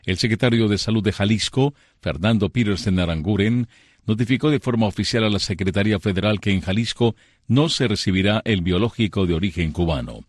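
Deep-voiced male radio news anchor. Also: slightly rough, no echo, studio quality. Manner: measured, formal delivery. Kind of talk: read news bulletin.

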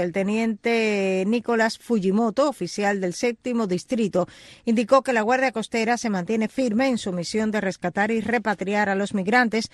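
High-pitched male news anchor, dry sound. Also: dry recording. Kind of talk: scripted news narration.

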